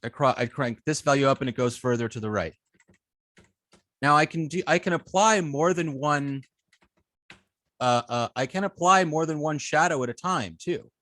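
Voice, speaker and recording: A man working as an instructor, explaining a live coding demonstration step by step in an informal, halting way, thinking aloud as he works. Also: on the high side, expressive, fairly bright tone, no echo. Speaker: web development instructor.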